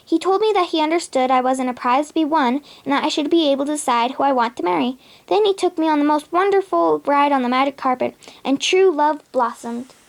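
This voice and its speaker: A woman's voice: high-pitched, fairly quick, gravelly, few pauses. A young female reader voicing a kind, spirited, romantic young princess in a children's storybook, in an earnest storytelling manner.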